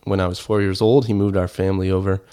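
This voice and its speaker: Low-pitched man, earnest interview guest. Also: flat delivery, quick pace, mellow, clear, resonant tone. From grew up in Kenya. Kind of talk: conversational interview.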